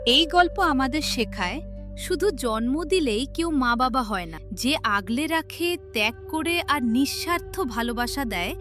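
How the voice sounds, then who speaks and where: A woman's voice: high-pitched, animated, measured pace, music in the background. A woman narrator reading a scripted story in a dramatic storytelling style.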